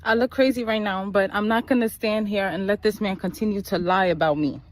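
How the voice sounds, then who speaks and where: A woman's voice: mid-range voice, a little fast. A woman with a Connecticut accent making a firm, emphatic video statement.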